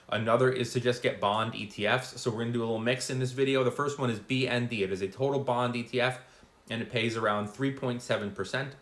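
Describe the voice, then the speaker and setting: A man in his forties talks straight to camera in a relaxed, chatty way, tossing in offhand asides as he explains. His mid-pitched voice rings in a noticeably roomy space. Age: 40s. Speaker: finance video host. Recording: roomy echo.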